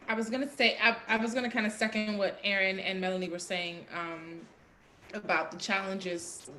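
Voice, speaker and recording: Stage actress in casual panel discussion; echoey room, faint background noise. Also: female voice, mid-range voice, steady tone, normal speed, pushed and bright. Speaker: theatrical actor.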